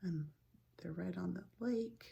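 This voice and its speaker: A woman reading a story aloud like a teacher, expressive and clearly phrased, with informal asides. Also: on the low side, a little slow, lo-fi sound.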